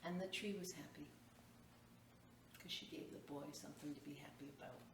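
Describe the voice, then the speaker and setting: A woman's voice: low-pitched, normal speed. A woman hosting storytime, reading a picture book to children and explaining it in simple phrases. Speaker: library storytime host. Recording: echoey room, distant mic, faint noise.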